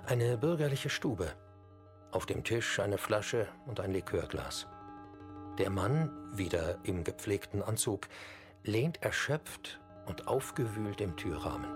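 A man reading scripted scene descriptions for a radio play in a measured, descriptive way. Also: fairly low pitch. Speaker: narrator. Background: music behind the voice.

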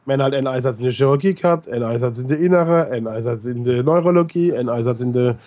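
A man's voice: medium pitch, very fast, dark tone. A man who has a cold, chatting casually and teasing his co-host on a radio show. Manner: blunt, teasing, joking banter. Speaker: co-host, nurse.

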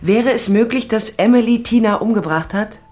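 A woman's voice: on the high side, moderate pace, darkish tone, close to an everyday voice. An actress playing a tough, wry female private investigator in a German radio crime drama, blunt and dry. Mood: brisk, pressing. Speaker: narrator and lead actress.

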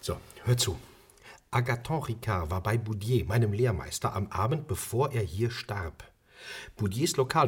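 Low, animated, brooding chef-sleuth narrator. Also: male voice, breathy edge, heavily acted. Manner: dramatic, expressive storytelling. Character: brooding, intense male chef turned amateur sleuth, narrating. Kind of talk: radio crime drama narration.

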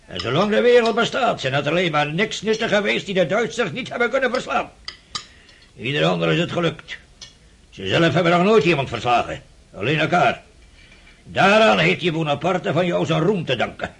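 An actor playing a cantankerous, opinionated old prince in a radio drama, husky and creaky, sputtering and repeating himself as he blusters. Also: fairly high pitch, sing-song, normal speed, a bit bright, heavily acted. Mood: scornful, grumbling. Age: older.

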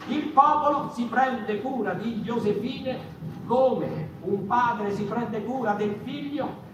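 A man playing an agitated narrator of the mouse folk in a theatrical monologue, insistent and halting, repeating phrases with rhetorical asides. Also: very high voice, muffled recording. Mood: tender, insistent. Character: agitated mouse-folk narrator, by turns tender, scornful and defiant. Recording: echoey, noisy stage recording from far off.

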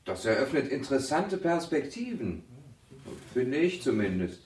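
An actor playing a keen male student in a radio drama seminar, explaining ideas in an animated way. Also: mid-range voice, moderate pace, lightly acted. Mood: intrigued. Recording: roomy, slightly distant studio acoustic.

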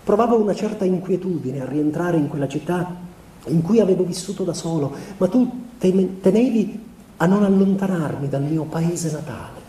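An actor playing a melancholy young husband in a radio theatre monologue, confessing by letter to his wife in a measured, deliberate way. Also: high voice, warm tone, lightly acted. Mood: weary melancholy. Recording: roomy, distant stage recording with background noise.

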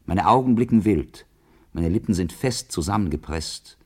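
Solemn schoolboy, very low voice. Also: male voice, lively intonation, normal speed, darkish tone, breathy edge. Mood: solemn, brooding. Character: brooding, earnest schoolboy leaving school, wild-hearted, resolute. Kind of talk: literary radio drama monologue.

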